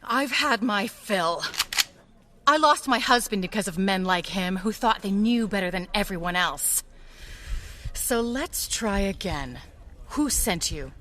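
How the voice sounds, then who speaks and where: A tough, gun-toting adventuress in an audio drama. She is sardonic and commanding, with a mid-pitched voice that moves expressively. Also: animated, measured pace, a bit bright. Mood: angry, threatening.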